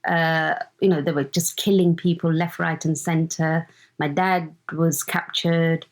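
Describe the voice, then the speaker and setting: A woman's voice: low-pitched, flat delivery. Chatty Ugandan-born woman in her fifties. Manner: chatty, halting, searches for words. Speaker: guest, host's mother. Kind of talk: casual conversation.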